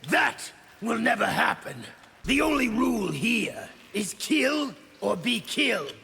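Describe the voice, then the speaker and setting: Very gravelly, ruthless game soldier. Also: male voice, extremely high pitch, very animated, takes it slowly, sharp tone, heavily acted. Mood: menacing. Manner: harsh, contemptuous, menacing. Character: ruthless, grim warmongering soldier with a hard temper. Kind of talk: video game character acting.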